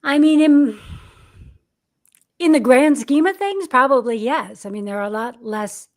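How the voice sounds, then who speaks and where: A woman's voice: high voice, measured pace. Reflective American woman in her fifties. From United States. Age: 50s. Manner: candid, reflective, with many restarts and fillers. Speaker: acting career coach, guest. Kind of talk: podcast interview.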